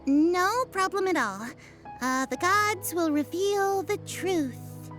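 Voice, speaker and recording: A woman voicing an awkward fortune teller in a video game, halting as she solemnly speaks for the gods. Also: very high-pitched, lively intonation, music in the background, full character voice. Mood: hesitant, solemn. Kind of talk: video game character acting.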